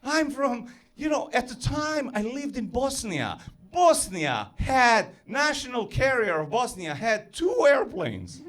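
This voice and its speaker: Male stand-up comic, Serbo-Croatian accent, lively pitch, measured pace. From former Yugoslavia. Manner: animated, self-deprecating, builds to yells. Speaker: stand-up comedian. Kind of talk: stand-up comedy set.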